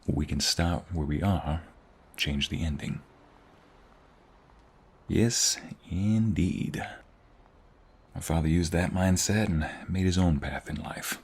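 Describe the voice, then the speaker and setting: Husky, very gravelly man acting a tender young husband. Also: low-pitched, heavily acted. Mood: earnest, reflective. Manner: tender, teasing, hushed, lingering delivery with sighs and soft chuckles. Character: affectionate, teasing young husband, mobster's son on the run. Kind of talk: intimate ASMR roleplay acting.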